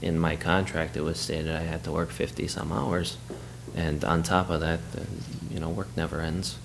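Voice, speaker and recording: Man, from Turkey and Chicago, measured, matter-of-fact, monotone, faint hiss. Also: a bass voice, gravelly. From Turkey, raised in Chicago. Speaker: interviewee. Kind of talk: casual interview.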